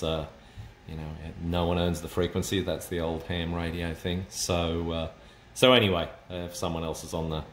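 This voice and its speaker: Deep-voiced man, casual tech demo. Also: fairly bright tone. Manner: hesitant, explanatory, thinking aloud. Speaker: amateur radio hobbyist demonstrating a kit. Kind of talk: unscripted casual tech demonstration. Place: indoors at a home desk with computers. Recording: roomy echo, noticeable background noise.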